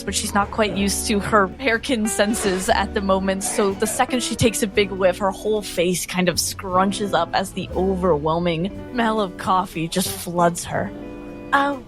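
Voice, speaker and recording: A woman's voice: medium pitch, a little slow. A woman playing a tabletop game, describing what her character does, with music underneath.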